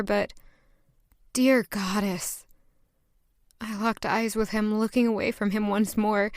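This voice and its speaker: Flustered young maid in audio drama, high smooth voice, dreamy and proper. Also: female voice, dry recording, clean studio sound. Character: flustered, demure young maid with romantic daydreams. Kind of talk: audio drama acting with first-person narration.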